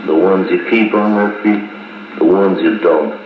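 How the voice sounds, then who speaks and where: An actor playing a blunt, fatalistic army doctor, curt and matter-of-fact, in 1950s radio drama style. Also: male voice, deep voice, measured pace. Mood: grim, curt. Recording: tinny, noisy, echoey 1950s wire recording.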